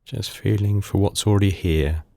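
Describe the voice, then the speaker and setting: A man leading a guided meditation in calm, unhurried, soothing short phrases with pauses between them. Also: lowish voice, dry recording. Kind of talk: guided body scan meditation.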